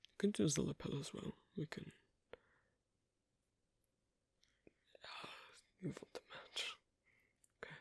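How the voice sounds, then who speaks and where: A performer voicing an awkward, asocial werewolf in an ASMR roleplay, speaking in a husky half-whisper with halting, terse phrases, as a non-native English speaker. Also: medium pitch, no room sound. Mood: shyly fond. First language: not English. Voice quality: breathy, husky. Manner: halting, terse, quiet instructions with clipped grammar. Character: asocial, awkward werewolf teaching sewing on a date.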